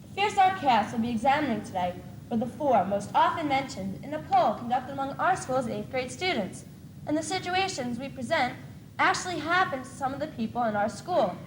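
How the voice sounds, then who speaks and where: A woman's voice: high-pitched, lively intonation, a little fast, long pauses. A young teenage girl acting as the earnest presenter of a school stage play. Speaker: student presenter. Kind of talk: scripted stage narration. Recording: echoey, faintly noisy old school tape.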